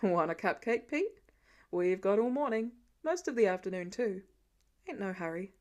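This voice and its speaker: A woman's voice: mid-range voice, expressive, moderate pace, voice pushed down, natural voice. A woman voicing an easygoing, tender husband and father with folksy banter, as audiobook dialogue. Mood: warm, easygoing.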